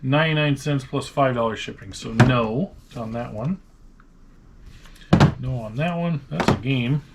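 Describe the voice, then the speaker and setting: A man's voice: mid-range voice, a little slow. Chatty Midwestern American man, noisy room. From Nebraska, United States. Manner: chatty, joking, thinks aloud. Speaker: vlog host, online reseller. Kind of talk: casual chat while sorting and pricing items.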